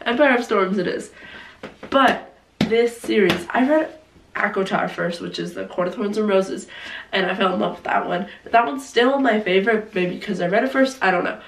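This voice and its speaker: A hoarse young woman chatting casually about her favorite books, gushing and saying "like" often, with a cough now and then. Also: mid-range voice, normal speed. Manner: gushing, heavy use of "like". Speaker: book vlogger. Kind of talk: casual book review chat. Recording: roomy, distant mic, faint noise.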